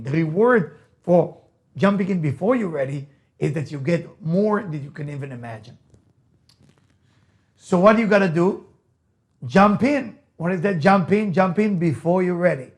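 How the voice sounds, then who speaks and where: A man with an Israeli accent, a native Hebrew speaker, giving an emphatic motivational lecture, repeating key phrases and asking rhetorical questions. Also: fairly high pitch. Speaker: rabbi, motivational speaker and teacher.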